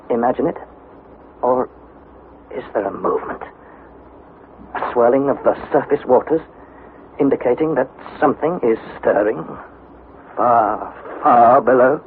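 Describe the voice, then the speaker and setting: Actor as fearful young explorer, tinny vintage radio. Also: male voice, mid-range voice, moderate pace, pauses often, close to an everyday voice. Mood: uneasy, hushed. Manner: dramatic, suspenseful, articulate delivery. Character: fearful young male explorer narrating his expedition journal. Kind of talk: 1960s radio drama acting, first-person journal narration. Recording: tinny, hissy vintage 1960s radio recording.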